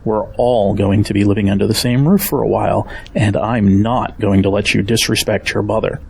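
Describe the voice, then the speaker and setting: Gravelly, firm middle-aged father. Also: fairly low pitch, fairly even intonation, normal speed, darkish tone, slight background noise. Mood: stern. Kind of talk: audio drama acting.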